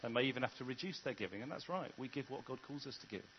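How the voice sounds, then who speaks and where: A man's voice: mid-range voice, expressive, very fast, highs cut off, a little hiss or hum. A man preaching a sermon in an earnest, conversational way, often restarting his sentences. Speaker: vicar.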